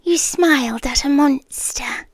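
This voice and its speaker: A British woman playing a possessive monster queen in an ASMR roleplay, speaking in hushed, deliberate tones that often slip into whispers. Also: high-pitched, no echo. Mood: tender, menacing. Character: possessive monster queen, soft-spoken, menacing yandere lover. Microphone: close, dry microphone.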